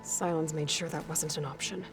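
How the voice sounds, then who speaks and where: A guarded young American huntress from a video game. She speaks in a slightly low voice, understated and serious, keeping her sentences short. Music plays clearly behind her. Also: steady tone, moderate pace. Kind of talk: naturalistic video game acting.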